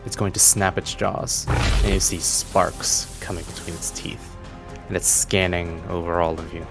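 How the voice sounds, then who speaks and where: A man's voice: low-pitched, flat delivery, normal speed, vocal fry, over music. A man narrating a tabletop fantasy game as game master, with dramatic, descriptive storytelling.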